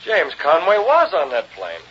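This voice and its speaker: Commanding, animated newspaper boss. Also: male voice, on the high side, slightly rough, heavily acted. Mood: probing. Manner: brisk, authoritative. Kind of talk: 1930s-40s radio acting. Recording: muffled old radio recording, faint noise.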